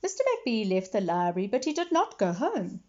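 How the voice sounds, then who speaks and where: A woman reading a children's story expressively, pausing to explain and put questions to young listeners. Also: medium pitch, wildly swooping pitch, muffled recording. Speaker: read-aloud storyteller for children.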